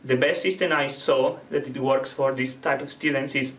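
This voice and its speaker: A man from Spain with a Spanish accent, who is sick and coughing, teaches his native language in halting, self-correcting English. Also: mid-range voice, steady tone, fairly bright tone, a little hiss or hum. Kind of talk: casual video language lesson.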